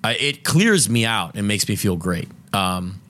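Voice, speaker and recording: A man's voice: fairly low pitch, animated, unhurried, pushed and bright, a little hiss or hum. A man co-hosting a podcast, chatting casually and telling loose, profane stories full of fillers. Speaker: podcast co-host. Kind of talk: casual chat.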